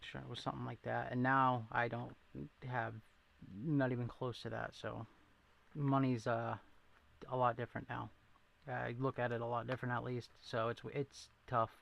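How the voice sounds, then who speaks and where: Gravelly man with a thin Boston accent. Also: medium pitch, level tone, moderate pace, fairly bright tone. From New England, near Rhode Island. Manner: rambling, self-deprecating, reacts to chat. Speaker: livestream host. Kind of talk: casual livestream chat, answering viewers.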